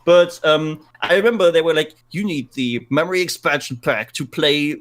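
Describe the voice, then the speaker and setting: Higher-pitched man explaining things casually. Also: normal speed. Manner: informal, sweary, explanatory. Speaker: gaming podcast co-host. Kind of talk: casual gaming podcast chat.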